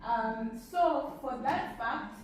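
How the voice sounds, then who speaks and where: A woman's voice: a little high, expressive, extremely slow. Echoey young Zambian woman presenting. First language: a Zambian language. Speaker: student panelist. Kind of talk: informal presentation to an audience. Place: large echoey room at a public event. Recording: very echoey room, distant mic, faint noise.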